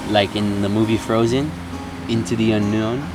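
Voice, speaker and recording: A young man talking casually to camera as a vlogger, relaxed and reflective with plenty of ums. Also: on the low side, normal speed. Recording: music bed loud underneath.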